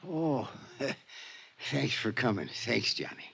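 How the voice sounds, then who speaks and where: A raspy, dying convict, swooping pitch. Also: male voice, animated, fairly bright tone, exaggerated voice. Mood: resigned, grateful. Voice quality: hoarse, weak, coughing. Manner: slangy, halting tough-guy speech. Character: dying male convict, small-time grifter, resigned and wry. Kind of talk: 1950s radio drama acting. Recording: vintage 1950s radio recording.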